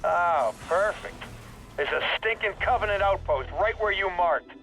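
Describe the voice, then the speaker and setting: A gruff army sergeant, blunt and wry, in video game military acting. Music runs clearly behind the voice. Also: male voice, sits high, animated, unhurried, bright, forceful tone, heavily acted, rough recording. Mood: sardonic, irritated.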